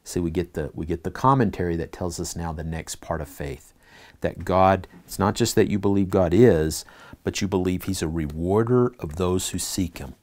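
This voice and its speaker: A man's voice: sits low, moderate pace, darkish tone. A man teaching a church Bible class, reading scripture aloud and putting earnest questions to his listeners.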